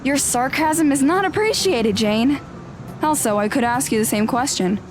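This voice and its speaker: A woman's voice: high voice, moderate pace, noisy background, natural voice. A woman playing a haughty, theatrical teen girl. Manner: theatrical, pompous, dismissive. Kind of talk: audio drama acting.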